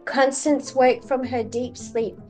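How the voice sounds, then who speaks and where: A woman's voice: sits high, steady tone, takes it slowly, darkish tone, soft background music. A woman narrating a children's storybook expressively as a read-aloud storyteller.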